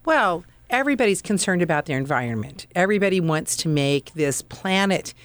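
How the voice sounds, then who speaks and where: A woman's voice: lowish voice, expressive, a little fast, dry recording. A woman guest on a radio show, explaining furniture advice in an earnest, practical way. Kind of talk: radio interview.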